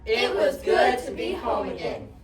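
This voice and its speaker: High, lively young female storyteller. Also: unhurried, a loud crowd. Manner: expressive, emphatic. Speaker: student reader's theatre narrator. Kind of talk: dramatic storybook narration on stage. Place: competition performance room indoors. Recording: echoey room, distant mic, audience noise.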